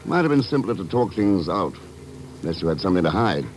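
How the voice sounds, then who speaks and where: An actor playing a cool, authoritative secret agent in a 1950s radio drama, terse and composed. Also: male voice, deep voice, sing-song, fast talker, slight background noise. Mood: dry, probing.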